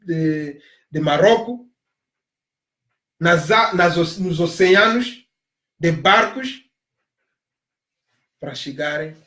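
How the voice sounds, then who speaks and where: A man from Africa giving an impassioned monologue, emphatic and repetitive, stressing his points loudly. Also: a little high, echoey room. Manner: emphatic, repeats phrases, rising to loud stresses. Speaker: commentator on migration.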